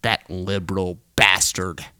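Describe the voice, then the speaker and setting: A man's voice: sits low, level tone, slow, bright, forceful tone. A young man delivering a seething, hateful rant, often dropping into a hissed whisper.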